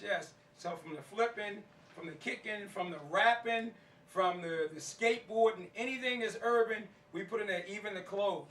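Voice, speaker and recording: A man's voice: sits high, a bit bright. A middle-aged American man, a martial arts teacher, talking informally to an audience in colloquial slang, repeating phrases for emphasis. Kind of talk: informal talk to a live audience. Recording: echoey room, distant mic, faint noise.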